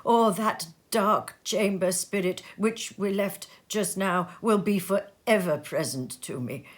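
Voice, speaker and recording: A woman voicing a shaken old miser in a storybook reading, pleading in a solemn, halting way. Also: mid-range voice.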